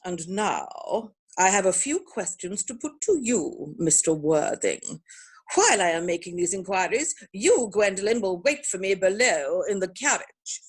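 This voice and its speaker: A woman playing an imperious, snobbish older aristocratic mother in a theatrical comedy reading, speaking with pompous, deliberate emphasis. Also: mid-range voice, very animated, slightly rough. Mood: stern, commanding. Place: virtual performance from home in isolation.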